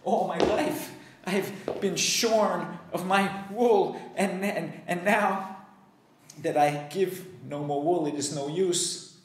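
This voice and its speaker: A man voicing an old sheep who speaks low and quietly, resigned to being slaughtered. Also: slow pace, very echoey, a little hiss or hum, low-quality recording. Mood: grim, resigned.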